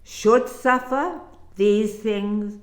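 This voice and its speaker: Woman reading as a slow, hushed holy teacher. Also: medium pitch, echoey room, voice pushed up. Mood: reproving. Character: calm, gently reproving holy male teacher. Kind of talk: dramatic scripture reading.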